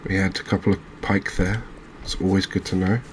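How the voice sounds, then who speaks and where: A man with an English accent giving soft-spoken ASMR game commentary in a hushed, unhurried, hesitant manner. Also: deep voice, little pitch movement, a little hiss or hum. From England. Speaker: gaming video host.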